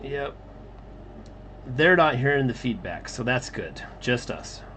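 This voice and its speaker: Male streamer, mid-range voice, slow laid-back delivery. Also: mid-range voice, slight background noise. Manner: relaxed, talks to unheard co-hosts, halting. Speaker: livestream host drawing comics. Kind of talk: casual livestream chat.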